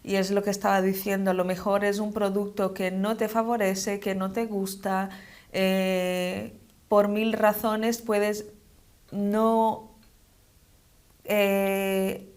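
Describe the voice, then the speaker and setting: A young woman with a non-native Spanish accent answering interview questions in a hesitant, measured, conversational way. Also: mid-range voice, little pitch movement, darkish tone. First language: not Spanish, speaks it as a second language. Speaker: interview guest, model and actress.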